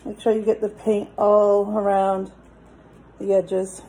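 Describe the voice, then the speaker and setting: A middle-aged woman giving children informal step-by-step craft instructions, plainly, pausing as she demonstrates. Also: medium pitch, monotone, dark tone, poor audio. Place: indoors, dining table in a home.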